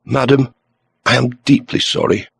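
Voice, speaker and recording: A man voicing a steady male police inspector in an audiobook, polite and businesslike. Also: medium pitch, measured pace, creaky, slightly rough, no room sound, studio quality, voice pushed up, exaggerated voice. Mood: careful, sympathetic.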